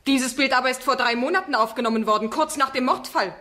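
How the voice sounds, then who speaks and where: An actress playing an ironic, insistent defence barrister in a radio play, speaking formally and rhetorically. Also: female voice, high voice, quick pace, sharp tone. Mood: probing, incredulous. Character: sharp, eloquent female defence barrister, probing and ironic. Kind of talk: German radio play acting.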